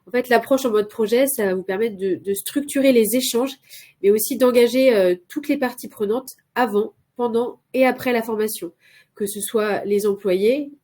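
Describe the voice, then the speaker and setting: A woman's voice: on the high side, moderate pace, warm tone. A woman with a cold, presenting as a consultant in a live webinar, hesitant with many filler sounds and restarts. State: has a cold.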